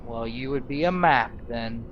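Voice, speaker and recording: Male gaming host: halting, unhurried role-play narration on a lo-fi mic with noise behind. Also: medium pitch. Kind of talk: role-play narration and commentary over gameplay. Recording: dull lo-fi mic, clear background noise.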